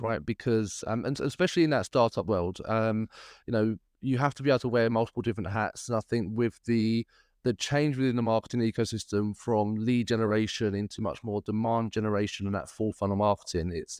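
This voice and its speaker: A man's voice: fairly low pitch, darkish tone, no echo, clean studio sound. A man hosting a podcast interview, upbeat and chatty, with frequent fillers and restarts. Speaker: podcast host. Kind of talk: casual interview chat.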